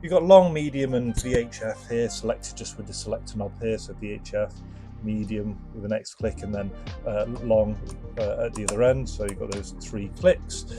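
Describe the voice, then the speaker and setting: Man casually demonstrating, slightly low-key delivery. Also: steady tone, normal speed, over music. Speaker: presenter of a vintage radio restoration channel. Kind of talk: casual product demo and review. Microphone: microphone set up for the demo.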